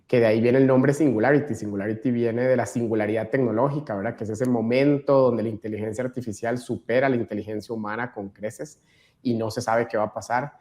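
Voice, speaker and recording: A man's voice: medium pitch, fairly even intonation, quick pace, a little mellow. A man from Costa Rica with a Costa Rican Spanish accent, talking enthusiastically as a podcast guest. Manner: enthusiastic, uses tag "¿verdad?". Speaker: podcast guest, chapter leader. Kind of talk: casual conversation.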